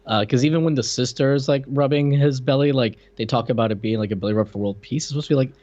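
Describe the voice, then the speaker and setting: Slightly muffled lo-fi audio, man, medium pitch, podcast co-host, casual film chat, joking, incredulous. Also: fast, darkish tone.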